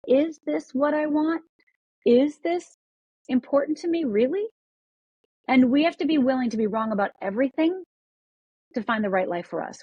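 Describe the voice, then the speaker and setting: A woman from Upper Michigan speaks as a podcast guest, her voice set a little high with a husky edge. She talks with energy, often doubling back and repeating words as she restarts her sentences. The recording is close and dry. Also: mellow, dry recording, dull audio. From Upper Michigan, USA. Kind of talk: podcast interview.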